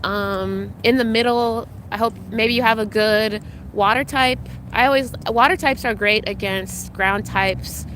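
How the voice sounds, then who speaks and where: A woman's voice: on the high side, fairly even intonation, unhurried, a bit bright, slight background noise. A young adult woman streaming live, chatting casually and greeting viewers by name, her voice slightly congested, with frequent ums. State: congested, recovering from illness.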